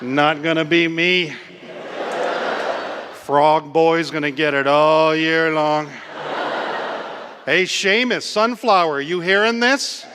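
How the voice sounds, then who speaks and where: Stand-up comedian from Hawaii, deadpan wry delivery, somewhat high voice, long hall reverb, crowd noise behind. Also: male voice, slow pace, long pauses. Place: comedy club stage. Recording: live club stage with long reverb and audible crowd.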